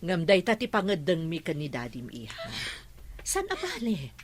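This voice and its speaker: A woman's voice: fairly low pitch, wildly swooping pitch, moderate pace, a little hiss or hum. A woman playing a patient, caring middle-aged mother in a radio drama, soothing and coaxing. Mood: soothing, concerned.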